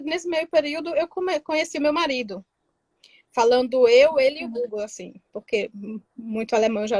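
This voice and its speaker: A lively woman tells her story in a casual interview. Her voice is high, and the sound is dry and close. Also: dry recording. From Northeast Brazil, Pernambuco interior. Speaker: guest, tax assistant in Germany.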